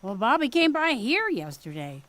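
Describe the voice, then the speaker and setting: A woman's voice: fairly high pitch, big pitch swings, moderate pace, fairly bright tone, no echo. An actress with a Midwestern accent playing a pushy, folksy small-town mother in an audio drama. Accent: Midwestern American. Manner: folksy, scolding, plain-spoken. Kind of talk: period radio drama acting.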